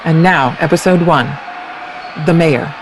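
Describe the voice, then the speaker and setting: A woman's voice: sits low, a little slow, background noise. A woman reading a scripted podcast introduction as its announcer.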